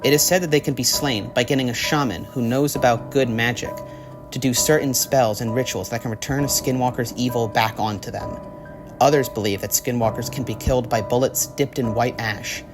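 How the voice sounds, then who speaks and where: A man's voice: medium pitch, fairly even intonation, fairly quick. A young man reading scripted horror documentary narration in an ominous, dramatic storytelling way. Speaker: video essay narrator. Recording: music under the voice. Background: background music.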